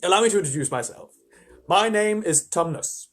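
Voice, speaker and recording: A man voicing an excitable, courteous fawn with a flustered, effusive, old-fashioned politeness in a storybook reading. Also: high-pitched, normal speed. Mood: delighted, flustered.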